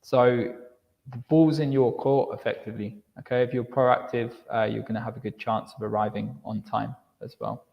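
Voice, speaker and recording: Man, mid-pitched voice, admissions presenter, explanatory, repeats words, long hall reverb. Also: steady tone, moderate pace, vocal fry, muffled recording. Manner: explanatory, repeats words while restarting, says okay often. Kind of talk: live online information presentation.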